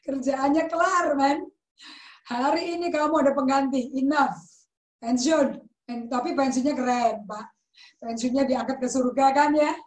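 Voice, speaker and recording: High-voiced woman preaching informally. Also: steady tone, a little slow, echoey room, rough recording. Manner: conversational, mixes in English words, asks rhetorical questions. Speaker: pastor. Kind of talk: informal teaching sermon.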